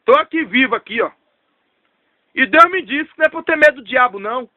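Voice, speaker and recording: A man's voice: extremely high pitch, lively intonation, brisk, no echo, narrow, tinny audio. A man, preaching an emphatic, repetitive testimony sermon. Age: 30s. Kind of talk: fervent storytelling sermon.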